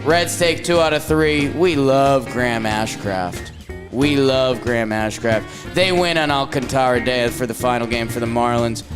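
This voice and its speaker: Animated jokey man talking sports. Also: over music. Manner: jokey, animated, quick swings between high and low voice. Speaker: podcast co-host. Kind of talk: casual sports chat.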